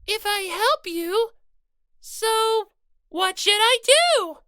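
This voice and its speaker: Audiobook mascot: tearful, earnest female bunny, childlike piercing voice, indignant wails, lively swoops. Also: very slow, no echo, clean studio sound, full character voice. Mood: panicked, pleading. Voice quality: squeaky, childlike. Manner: plaintive, wailing, indignant. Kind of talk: character voice in fan audiobook.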